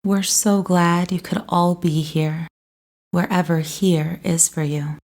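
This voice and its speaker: A woman narrating a scripted guided meditation in a soothing, gentle, unhurried way. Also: lowish voice, fairly even intonation.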